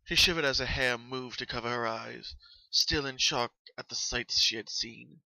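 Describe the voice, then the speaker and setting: A man's voice: mid-range voice, normal speed, vocal fry, bright, forceful tone, no echo, poor audio. A man narrating a horror story as a solemn, dramatic reading.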